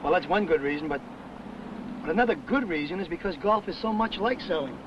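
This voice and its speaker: A man's voice: fairly high pitch, lively intonation, fairly quick, natural voice. A man playing an earnest, affable salesman in a 1970s sales training film, explaining things in an eager, folksy way. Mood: earnest, explanatory. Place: mostly outdoors on a golf course. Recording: muffled, very noisy 1970s 16mm film sound.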